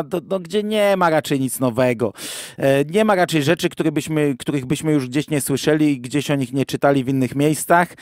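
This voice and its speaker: Man, podcast co-host, slightly high voice, dry close sound, informal discursive review talk. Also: no room sound. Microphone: close microphone on a remote virtual-studio call.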